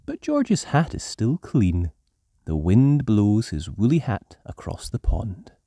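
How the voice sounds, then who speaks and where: A storyteller hosting and narrating a children's story in an animated style. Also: mid-range voice, takes it slowly, no room sound, clean studio sound. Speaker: storyteller and channel host.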